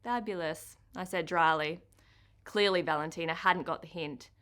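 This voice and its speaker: A woman with an Australian accent, an author giving an expressive first-person reading of her children's book. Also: deep voice, moderate pace.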